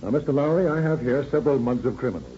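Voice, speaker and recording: A muffled, noisy old radio recording of an actor as an American detective captain. He rattles along quickly in a clipped, matter-of-fact, slangy way, with plenty of movement in his pitch. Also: animated, dark tone, close to an everyday voice. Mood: courteous, businesslike. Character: brisk, businesslike, polite police detective captain. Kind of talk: 1930s radio acting.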